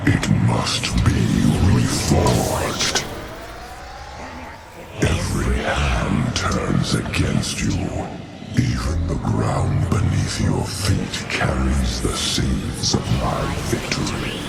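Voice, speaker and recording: An actor playing a menacing, ancient, godlike male villain who makes slow, grand pronouncements in a game cutscene. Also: full character voice. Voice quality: deep gravelly menacing voice. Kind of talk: video game cutscene acting. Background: game music.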